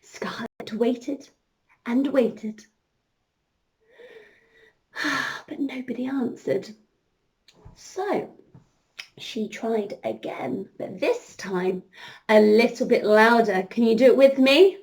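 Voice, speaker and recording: Female children's entertainer, high register, slow delivery, expressive interactive storytelling with encouraging prompts to kids.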